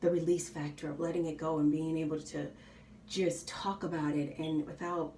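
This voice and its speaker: A soft-spoken woman with a slightly low voice talks in a loose, rambling way, full of ums and you knows. She sits a little back from the mic in an echoey room with faint ambient noise behind her. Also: little pitch movement, moderate pace. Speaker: vlogger. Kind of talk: casual chat while doing makeup. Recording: roomy, slightly distant home recording.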